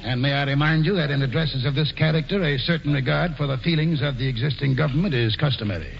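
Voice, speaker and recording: A man playing a pompous, blustering old colonial governor in a 1940s radio musical, with a booming, commanding, theatrical delivery. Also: quick pace, creaky. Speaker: actor in a radio play. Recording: dull old radio broadcast with loud music.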